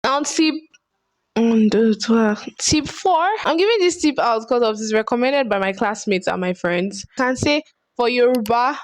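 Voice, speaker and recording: A woman's voice: on the high side, lively intonation, a little slow, no room sound. A young woman with a Nigerian accent gives casual language-learning tips to camera. She is chatty and earnest and often restarts her phrases. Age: young adult. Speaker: vlogger. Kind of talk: casual advice vlog.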